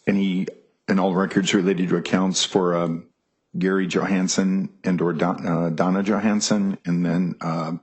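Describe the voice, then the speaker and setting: A man testifying as a witness in a courtroom, hesitant and filling pauses with ums as he reads out a document. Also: sits low, flat delivery, breathy edge. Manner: hesitant, many ums, reading aloud.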